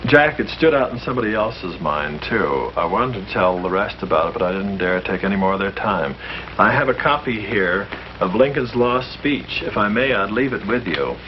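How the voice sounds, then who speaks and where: A man's voice: fairly low pitch, expressive, moderate pace. A man playing a priest in a 1950s film, earnest and polite. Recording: muffled old film soundtrack, faint hiss.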